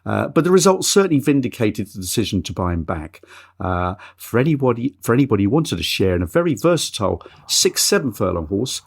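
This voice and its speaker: A man's voice: on the low side, sing-song, a little fast, vocal fry, breathy edge. Chatty man hosting a podcast. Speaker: podcast host. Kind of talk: casual podcast chat.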